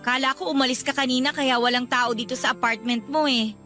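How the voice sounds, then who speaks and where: A woman's voice: high voice, steady tone, a little fast, sharp tone, faint music behind. An actress playing an upset, needy young girlfriend in a radio love drama, with a plaintive, sulky manner. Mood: hesitant, troubled. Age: young adult. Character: upset, needy young girlfriend who ran away from home.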